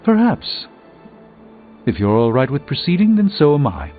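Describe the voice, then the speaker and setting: An erudite middle-aged sorcerer superhero for a video game. His delivery is formal, dry and a touch pompous, with animated pitch movement. The sound is thin and band-limited, with music clearly behind it. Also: normal speed, narrow, tinny audio. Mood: measured, dry.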